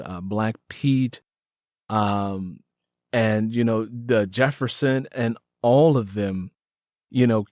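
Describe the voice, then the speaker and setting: A man's voice: on the low side, darkish tone, no room sound, clean studio sound. A man hosting a casual interview, enthusiastic and emphatic, often repeating his phrases. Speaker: podcast host interviewing an author.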